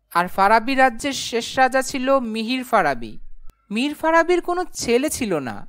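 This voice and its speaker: Woman acting a young cartoon narrator, high-pitched, very animated, honest, plucky, nervous. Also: clear, resonant tone. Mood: puzzled. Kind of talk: cartoon first-person narration and dialogue.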